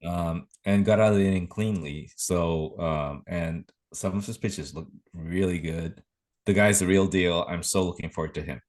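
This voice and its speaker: A man's voice: low voice, normal speed, darkish tone. A man co-hosting a casual sports podcast, reacting with interest and chipping in with short remarks. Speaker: podcast co-host.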